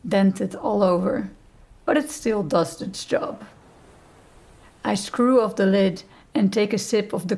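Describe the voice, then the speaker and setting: A woman reading a first-person novel as an audiobook narrator, with measured, deliberate phrasing. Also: medium pitch, gravelly, a little mellow.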